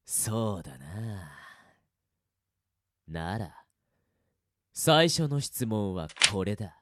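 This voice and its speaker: A man acting in an audio drama as a cocky veteran death-game player who teases his rivals in rough, casual speech. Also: lowish voice, sing-song, very slow, dry recording, studio quality, heavily acted. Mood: taunting.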